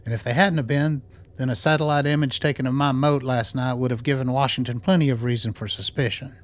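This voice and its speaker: An actor playing a composed, principled doctor in a radio drama, speaking in a measured, clinical, matter-of-fact way. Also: male voice, mid-range voice, steady tone, close to an everyday voice. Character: composed, principled male doctor running a secret lab.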